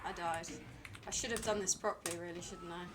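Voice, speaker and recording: A woman's voice: on the low side, steady tone, fairly quick, very noisy. A British woman streaming a game, talking to herself and chatting to viewers in a dry, rambling way. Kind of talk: live gameplay commentary.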